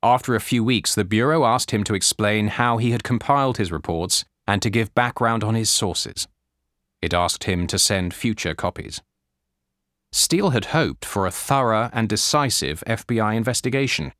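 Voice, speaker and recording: A man reading nonfiction as an audiobook narrator, measured and clearly articulated, in a scripted style. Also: lowish voice, a bit bright, no room sound, studio quality. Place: dry studio recording.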